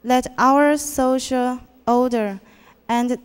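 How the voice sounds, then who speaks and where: A teenage girl with a Taiwanese accent works slowly through an English contest speech, hesitating and restarting as she goes. Her voice is smooth and slightly high. A long hall reverb hangs on every word. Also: fairly even intonation. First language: Mandarin Chinese. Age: teens. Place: school auditorium.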